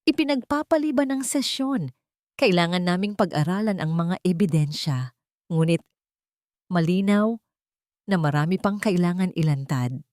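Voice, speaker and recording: A woman's voice: fairly low pitch, lively intonation, moderate pace, pauses often, darkish tone, no echo, clean studio sound, close to an everyday voice. A woman voicing a stern, serious judge in an audio story, formal and grave.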